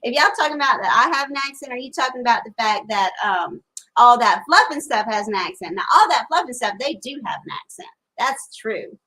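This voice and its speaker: Woman host, fairly high voice, chatty and rambling with lots of ums, live stream chat from a porch in stormy weather on a phone camera. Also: lively intonation, brisk, sharp tone, poor audio. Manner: chatty, rambling with many fillers. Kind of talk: casual live chat reading comments. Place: outdoors on a porch during a storm.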